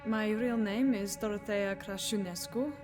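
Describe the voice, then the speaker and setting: An actress playing a composed, defiant nurse in a video game, speaking in a measured, frank, dryly pointed way. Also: female voice, mid-range voice, fairly even intonation, natural voice. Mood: reflective. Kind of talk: video game dialogue acting. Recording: music behind the voice.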